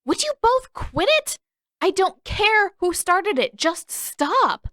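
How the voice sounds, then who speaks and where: A woman's voice: extremely high pitch, sing-song, slow pace, fairly bright tone, no room sound, clean studio sound, pitched above the performer's own voice, heavily acted. A woman voicing a stubborn, exasperated teenage girl with an indignant, emphatic delivery in a story reading.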